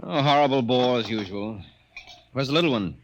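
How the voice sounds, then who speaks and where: An actor playing a brisk, casually grumbling army colonel father in an old radio drama. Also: medium pitch, natural voice. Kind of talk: 1950s radio acting. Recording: old radio recording with faint noise.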